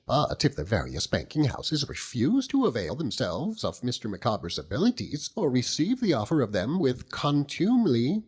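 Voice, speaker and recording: A man's voice: fairly high pitch, slightly rough, voice pushed up, heavily acted. A man voicing an earnest, self-important genteel wife and mother in an audiobook, lecturing in a pompous, declamatory way. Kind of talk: audiobook character voice.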